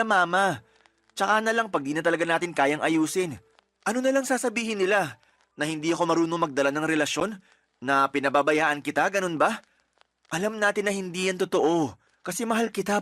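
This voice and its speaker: A man's voice: high-pitched, brisk, fairly bright tone, no echo. A man acting an exasperated young boyfriend in a radio drama, arguing emphatically and pleading with his fiancée. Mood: earnest. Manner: argumentative, emphatic.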